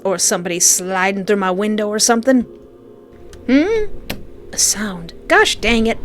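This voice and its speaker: Expressive woman narrating a horror visual novel. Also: medium pitch, a little hiss or hum. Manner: expressive, joking asides in exclamations. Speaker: video game player reading the story aloud. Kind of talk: dramatic read-aloud of a visual novel with playful reactions.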